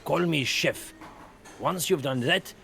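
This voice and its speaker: A man's voice: fairly high pitch, slow pace, vocal fry, sharp tone, a little hiss or hum, full character voice. A man playing a bossy, impatient head chef who barks curt orders, in a children's audio drama.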